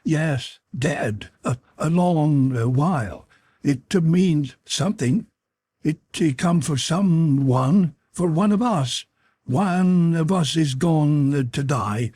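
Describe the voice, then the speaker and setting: A man voicing a doomed, superstitious man in an audiobook, speaking in halting gasps and wails of dread. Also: a little high, darkish tone, husky, dry recording, clean studio sound, pitched above the performer's own voice. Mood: dread, wailing. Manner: halting, gasping, wailing. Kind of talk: audiobook character voice.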